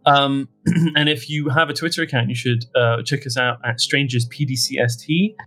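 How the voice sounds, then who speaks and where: A man's voice: mid-range voice, fairly even intonation, moderate pace, a bit bright, soft background music. A man hosting a podcast in a casual comedic chat, rambling and joking at his own expense. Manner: jokey, rambling, self-mocking. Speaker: podcast host.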